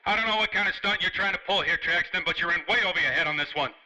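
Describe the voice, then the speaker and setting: An actor playing a hot-tempered, boozing ex-private detective, with brash, clipped slang in 1930s radio noir style. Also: male voice, sits high, racing, sharp tone, rough recording. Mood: angry, threatening.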